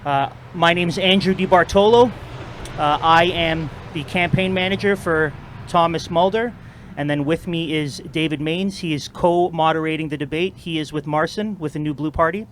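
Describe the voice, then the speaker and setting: A man's voice: a little high, steady tone, moderate pace, a bit bright, a little hiss or hum. A man moderating an informal outdoor debate, giving upbeat, rallying opening remarks to a crowd.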